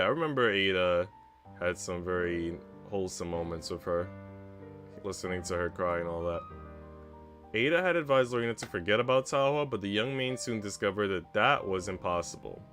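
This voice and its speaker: Low-voiced man narrating over music. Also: animated, moderate pace, a bit bright. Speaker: streamer reading a visual novel aloud. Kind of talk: storybook narration with casual chat asides. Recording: music behind the voice. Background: background music.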